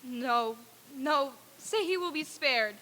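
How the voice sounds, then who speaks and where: Woman playing a sharp, high-voiced grumpy old miser. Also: expressive, slow, exaggerated voice. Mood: pleading. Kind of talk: old time radio stage reading.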